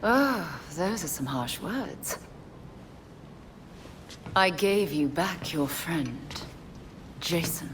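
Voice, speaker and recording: An actress playing a poised, cunning female assassin leader in a video game cutscene, speaking formally and deliberately with cool menace. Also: lively intonation, heavily acted. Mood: coolly mocking. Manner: formal, deliberate, ornate phrasing. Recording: low rumbling noise behind.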